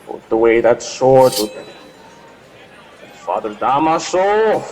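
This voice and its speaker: An actor playing a cautious, diplomatic Spanish priest who speaks in a measured, formal way in a radio drama. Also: male voice, medium pitch, sing-song, a little mellow. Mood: admonishing. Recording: long hall reverb, music underneath.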